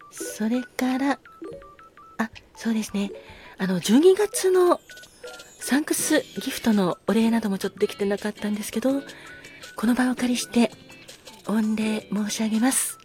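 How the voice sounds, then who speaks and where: A woman with a fairly high voice hosts a casual solo talk show over faint background music. She speaks courteously, full of gratitude, with a softly apologetic way of addressing her listeners. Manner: polite, effusively grateful, softly apologetic. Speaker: podcast host.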